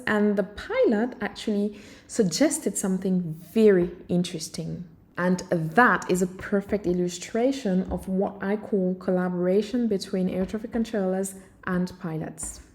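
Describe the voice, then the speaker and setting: A woman's voice: medium pitch, darkish tone. A woman hosting a vlog as an air traffic controller, narrating and explaining casually.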